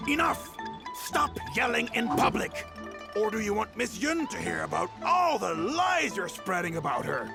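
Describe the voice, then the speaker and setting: A man's voice: high voice, very animated, unhurried, creaky, loud music behind the voice, heavily acted. An actor playing a pushy, scheming male newspaper boss in a video game, talking down to people in a scornful, bullying way. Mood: angry. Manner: condescending, hectoring, slangy.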